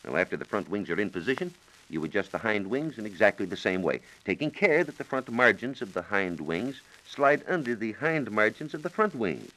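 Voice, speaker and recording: Man, low voice, highly varied intonation, precise formal explanations, 1940s radio science reporter, vintage broadcast recording. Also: big pitch swings, a little fast, pushed and bright, poor audio. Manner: precise, methodical, formal phrasing.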